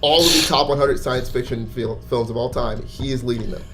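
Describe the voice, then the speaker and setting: A man's voice: medium pitch, fairly quick, loud music behind the voice. A man co-hosting a casual panel discussion in an informal, conversational manner. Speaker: podcast co-host. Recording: faint room noise.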